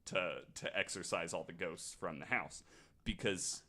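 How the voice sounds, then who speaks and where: A man with a fairly low voice hosts a podcast, retelling eerie stories from his notes. His delivery is casual and conversational, with a joking, irreverent manner. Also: a little slow, pushed and bright. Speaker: podcast host.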